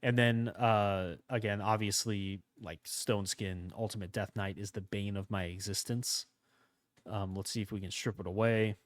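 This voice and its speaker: A man with a slightly hoarse voice from a starting cold, casually commenting on his gameplay as he thinks aloud. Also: lowish voice, normal speed, no echo. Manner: rambling, relaxed, thinking aloud. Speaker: gaming channel host.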